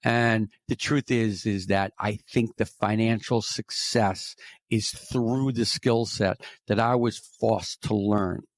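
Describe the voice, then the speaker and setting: A man's voice: on the low side, steady tone, slow pace, no echo, studio quality. Emphatic man with a New York accent. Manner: emphatic, repeats key phrases like a coach. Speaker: podcast guest, sales trainer. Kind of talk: conversational interview.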